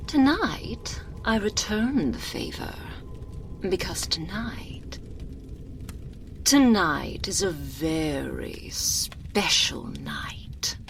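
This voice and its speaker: A woman playing an imperious, seductive, sinister vampire countess in an ASMR roleplay, haughty and velvety, drawing out her words and sinking into breathy whispers. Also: fairly low pitch, lively intonation, a little hiss or hum, full character voice. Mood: amused, indulgent. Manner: haughty, velvety, drawn-out purring delivery with breathy whispered endings. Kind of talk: binaural ASMR roleplay, theatrical character acting. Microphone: close binaural microphone.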